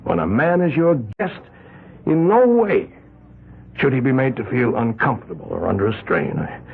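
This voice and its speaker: Patriarch host, radio play: gravelly, sweeping intonation, grandly formal and intense, old narrow-band recording. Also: male voice, mid-range voice, sing-song, moderate pace, exaggerated voice. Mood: solemn, emphatic. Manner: emphatic, theatrical, ceremonious, repeats words. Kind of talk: old-time radio drama acting.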